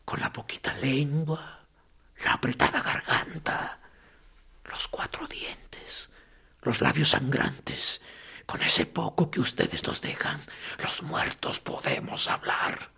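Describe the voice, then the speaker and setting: An actor playing a tortured male prisoner in a radio drama, speaking in a hoarse whisper with morbid sarcasm. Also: medium pitch, slow pace, pushed and bright, full character voice. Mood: eerie calm.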